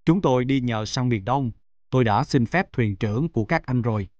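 A man voicing a cautious, protective middle-aged merchant father in a fairy-tale cartoon, speaking in a measured, advising way. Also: medium pitch, animated, a little mellow, no room sound, highs cut off, natural voice. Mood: polite.

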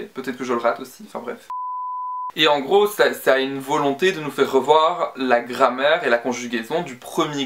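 A young man talks straight to his webcam from his bedroom, sharing study advice in a chatty, earnest way. He stumbles over fillers and restarts his sentences as he goes. His voice sits at an ordinary pitch for a man. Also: fairly bright tone. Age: young adult. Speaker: student vlogger. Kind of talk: casual study-tips vlog. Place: bedroom with bookshelf and screen.

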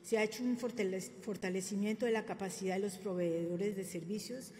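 A woman's voice: medium pitch, fairly even intonation, brisk, a little mellow, slight background noise. An older woman giving a formal presentation at a podium, speaking with frequent fillers and self-corrections. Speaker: conference speaker. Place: government press room. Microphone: podium microphone. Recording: reverberant room, faint ambience.

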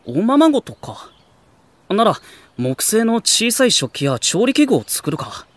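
A man's voice: high voice, very animated, measured pace, no echo. A man playing a mild-mannered, good-natured teenage boy in an anime audio drama, speaking casually. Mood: thoughtful. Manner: casual, gently exasperated.

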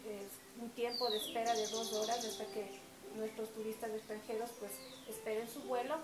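A woman's voice: fairly high pitch, level tone, normal speed. A woman in her thirties with an Ecuadorian accent, answering informal interview questions as winery staff, hesitating as she searches for words. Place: covered brick patio at a winery. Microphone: camera a few metres away. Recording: echoey, distant camera mic, loud ambient noise.

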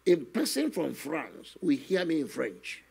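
An older man, a guest minister telling his testimony slowly and earnestly in a studio interview, often adding "you know". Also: on the high side, huge pitch swings, darkish tone. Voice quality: gravelly, husky. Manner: slow, earnest storytelling with many "you know" asides. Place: television studio, seated on a set. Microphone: stand microphone in front of him.

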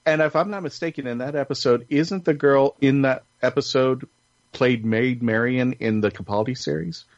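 A man hosting a casual panel podcast, talking in a halting, jokey way. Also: medium pitch, no echo, dull audio. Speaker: podcast host.

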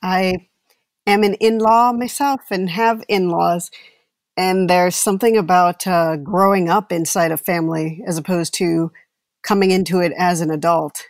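A woman's voice: fairly low pitch, fairly even intonation, a little slow, no room sound. A woman speaking as an actor guest in reflective, casual conversation.

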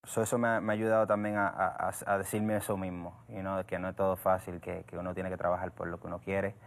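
A young man talks casually about his band mates, stopping and restarting as he goes. His voice sits a little low, and his manner is relaxed. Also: creaky, noisy background. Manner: switches between languages, halting restarts. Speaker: band member. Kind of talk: casual interview talk.

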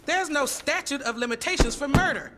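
A man's voice: very high voice, animated, fairly quick, music in the background. A man voicing a stern, indignant courtroom judge with formal manner in a video game cutscene.

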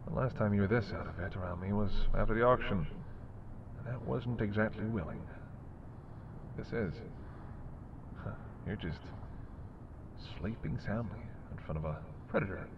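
A man's voice: on the low side, normal speed, vocal fry, long pauses, highs cut off, noisy background. A man playing a sardonic, predatory male vampire in an intimate audio roleplay, with wry, teasing, murmured banter. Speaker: voice actor.

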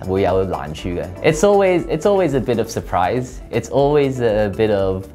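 A man in his thirties from Hong Kong, talking earnestly and steadily in a sit-down office interview, often pausing with ums, on a lapel mic. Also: on the low side, sing-song, mellow. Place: office room. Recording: guitar music bed under voice. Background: background music under the talk.

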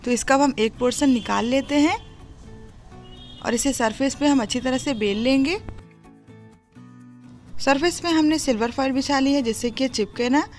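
A woman's voice: high-pitched, normal speed, pauses often, darkish tone. A woman talks through a recipe as a home cooking host. She explains each step in an instructive way. Faint music plays behind her narration.

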